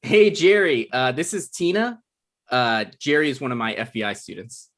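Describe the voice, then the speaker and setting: A man's voice: medium pitch, sing-song, normal speed, fairly bright tone. A man reading the part of an awkward, evasive man in his mid-thirties bluffing through a date in a comedy table read. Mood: flustered.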